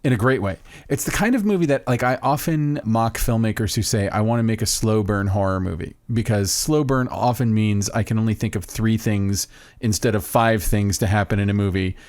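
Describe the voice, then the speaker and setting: Low-voiced man, dry chatty podcast host. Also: normal speed, a little mellow, no echo. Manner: enthusiastic, rambling, self-interrupting. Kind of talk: casual podcast chat.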